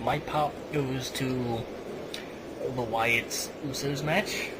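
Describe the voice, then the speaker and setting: A man's voice: mid-range voice, steady tone, very slow. Male podcast host on a noisy line. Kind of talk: casual comedic podcast chat.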